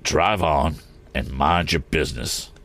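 A man's voice: a bass voice, normal speed, fairly bright tone. A man voicing a sneering, vindictive villain in a storybook, a hot-tempered and menacing bully. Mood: angry. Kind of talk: audiobook character acting.

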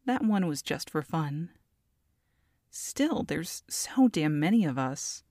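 A woman's voice: low voice, big pitch swings, slow, mellow, dry recording, studio quality, full character voice. A woman voicing a feisty, scheming goblin mother in an audio roleplay, sassy and haughty as she talks to a captive. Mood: indignant. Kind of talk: ASMR audio roleplay monologue.

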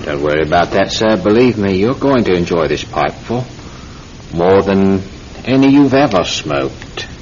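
A genial shopkeeper with a sly, ingratiating manner, in a classic radio play. His voice is deep and his talk soft-soaps the customer. The old recording is dull and noisy. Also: expressive, normal speed. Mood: soothing, insinuating. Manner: ingratiating, coaxing. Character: genial, sly, coaxing male tobacconist. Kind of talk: old-time radio drama acting.

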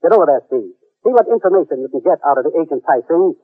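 An actor playing a businesslike government commissioner in an old radio drama, formal and authoritative. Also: male voice, a little high, expressive, fast, slightly rough. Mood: urgent briefing. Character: businesslike male government commissioner briefing his agent. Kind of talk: 1940s-50s radio drama acting. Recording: muffled old radio recording.

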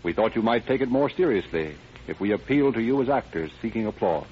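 A man's voice: lowish voice, lively intonation, quick pace, husky. Vintage American radio narrator, man, muffled. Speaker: narrator and announcer. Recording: dull 1930s radio recording, music behind.

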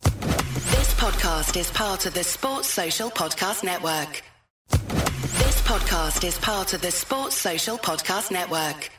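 A woman announcer reading a network ident slowly and evenly. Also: lowish voice, lively intonation, echoey room, distant mic, loud music behind the voice.

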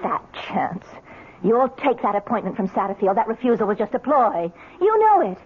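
An actress playing a bitter, defiant middle-aged wife in a 1970s radio drama, biting and scornful. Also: female voice, fairly high pitch, very animated, normal speed, slightly rough. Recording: muffled 1970s radio recording.

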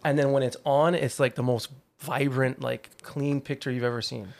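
A man in his thirties from Hawaii, a guest chatting in a relaxed way on a podcast interview, with lots of "like" and "you know". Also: mid-range voice, normal speed, gravelly. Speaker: guest, artist manager.

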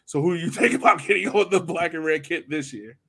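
A man's voice: on the high side, fast talker, creaky, sharp tone, husky. A man hosting a casual sports podcast, chatting playfully with his guests. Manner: playful, addresses guests as sir. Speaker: podcast host.

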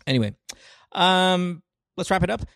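Animated talk show host, very lively pitch. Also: moderate pace, vocal fry, no echo. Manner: animated, swearing freely. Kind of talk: casual podcast chat.

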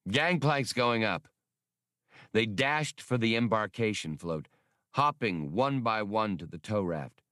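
A man's voice: lowish voice, animated, a little slow, a bit bright, no echo, studio quality. A man reading an adventure story as an audiobook narrator, in a scripted, dramatic style.

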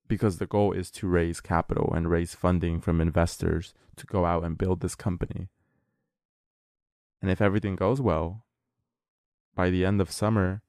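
A man's voice: low voice, a little mellow, dry recording, clean studio sound. A man of nineteen, co-hosting a podcast, talking in a casual, rambling and earnest way with many fillers. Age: late teens. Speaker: podcast co-host.

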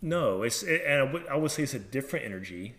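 A man's voice: mid-range voice, expressive, quick pace, fairly bright tone, a little hiss or hum. A man in his thirties co-hosting a podcast, chatting casually and playfully, laughing often. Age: 30s. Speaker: podcast co-host. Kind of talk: casual chat.

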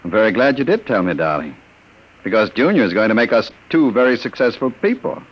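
An actor playing a suave, menacing gangster with a sardonic, commanding manner in an old radio drama. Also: male voice, medium pitch, very animated, a little fast. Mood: suave, seductive. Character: smooth-talking, menacing male gangster on the run. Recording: dull, muffled vintage radio recording.

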